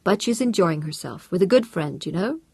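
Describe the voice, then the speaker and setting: Woman reading a low, courteous, guarded man. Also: expressive, fairly quick, gravelly, a little mellow, slightly rough, no room sound, exaggerated voice. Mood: polite. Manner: polite and casual, guarded. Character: suave, polite, calculating man.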